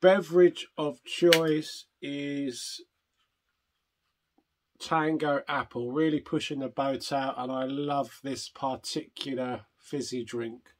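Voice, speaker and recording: An older man hosting a retro gaming vlog, chatting casually and rambling, hoarse from a chest infection. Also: medium pitch, steady tone, slow pace. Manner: rambling, self-interrupting, chatty. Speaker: retro gaming channel host.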